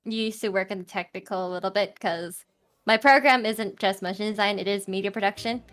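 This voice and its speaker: A young woman with a high-pitched, loud voice, chatting casually as an interview guest, with many fillers and restarts. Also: fairly even intonation, brisk, soft background music. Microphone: home streaming mic with EQ and compressor.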